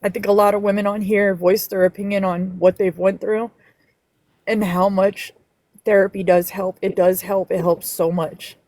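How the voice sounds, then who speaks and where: A woman guest on a live panel, speaking earnestly and emotionally, sometimes halting. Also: mid-range voice, little pitch movement, a little mellow, no room sound. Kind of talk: personal testimony on a live stream.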